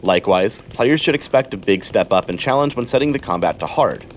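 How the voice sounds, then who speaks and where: A man with a fairly low voice narrates a video game guide from a script. His delivery is measured and explanatory, with faint noise and game ambience behind him. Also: animated.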